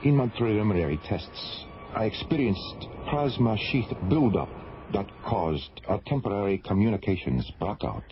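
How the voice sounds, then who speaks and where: A man playing a courteous astrophysicist in a science fiction radio drama, speaking in a polite, measured way. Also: fairly low pitch, lively intonation, a little hiss or hum, close to an everyday voice. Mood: matter-of-fact. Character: genial, courteous astrophysicist and test pilot, a man. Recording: dull lo-fi radio recording.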